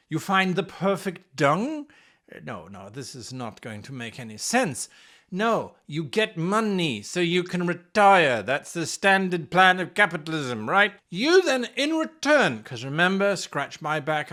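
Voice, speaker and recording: A man's voice: high voice, very animated, a little slow, a bit bright. A man presenting tabletop role-playing game advice in a theatrical, playful manner with sarcastic asides. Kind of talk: animated explainer on tabletop role-playing games.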